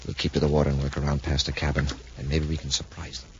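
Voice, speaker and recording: An actor playing a steady, resolute space patrol commander with an authoritative manner in a 1950s radio adventure serial. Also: male voice, a bass voice, quick pace. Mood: calm, calculating. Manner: authoritative, clipped orders. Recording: muffled, faintly noisy 1950s radio recording.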